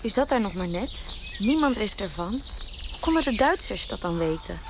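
A woman's voice: medium pitch, big pitch swings, normal speed, noisy background. An actress playing a young princess who earnestly questions her grandmother. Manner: earnest, disbelieving.

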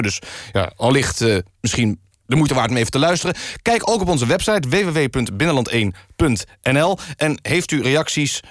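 Male radio host, medium pitch, deadpan, mock-serious presentation, dry close studio sound. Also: no echo.

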